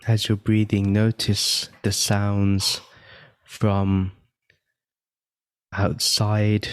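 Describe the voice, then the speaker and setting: A man leading a guided meditation, giving gentle step-by-step instructions with long pauses between phrases. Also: fairly low pitch, monotone, clean tone, no room sound. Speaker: meditation guide and podcast host.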